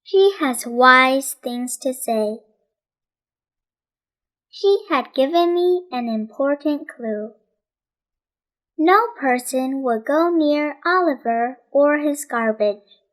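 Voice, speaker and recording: A woman with a very high voice narrates a children's storybook. She reads at a slow, deliberate pace, in the measured manner of an audiobook storyteller. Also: lively intonation, fairly bright tone.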